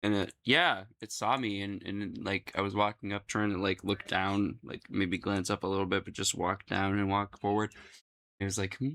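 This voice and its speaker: A man in a casual reaction chat, talking in a halting way and repeating himself. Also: lowish voice, fairly even intonation, sharp tone. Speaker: guest reactor.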